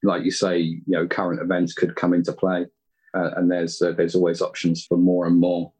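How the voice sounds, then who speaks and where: A man's voice: deep voice, completely flat delivery, normal speed, darkish tone, slightly rough. A British man in a casual interview, talking in a self-deprecating, hesitant way. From Britain. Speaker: podcast creator being interviewed.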